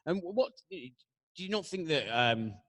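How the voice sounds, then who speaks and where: A man in casual conversation, speaking haltingly and stammering through many false starts. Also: a little high, very animated, a bit bright, no room sound, clean studio sound. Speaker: panel guest who works as a business analyst.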